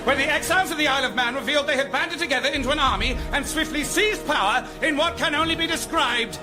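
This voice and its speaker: A man's voice: very high-pitched, quick pace, over music. A British man playing a grave, dramatic documentary narrator in a mock-history radio comedy, speaking with portentous emphasis.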